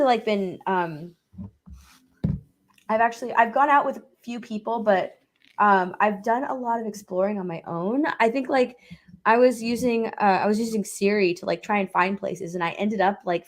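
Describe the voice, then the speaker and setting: A woman from Texas, a guest on a painting stream, chatting in a relaxed, rambling way, her voice still slightly hoarse. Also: mid-range voice, moderate pace. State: slightly hoarse after illness. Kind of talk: casual chat.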